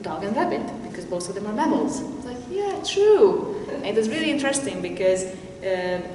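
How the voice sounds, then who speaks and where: A woman's voice: medium pitch, sing-song, fairly quick, darkish tone. A woman whose first language is Hungarian leads a group exercise at a workshop, chatty and laughing often. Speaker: workshop co-presenter. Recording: echoey hall, distant mic, background noise.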